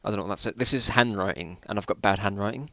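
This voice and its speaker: A man's voice: fairly low pitch, fast talker, sharp tone, no echo. A man reading his own old stories aloud in a casual dramatic style, with self-mocking, bemused asides.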